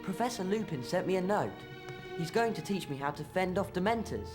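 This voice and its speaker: A man's voice: high-pitched, lively intonation, brisk, over music. A male actor playing an earnest, eager schoolboy wizard hero in a video game, speaking in a keen, straightforward way. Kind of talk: video game cutscene acting.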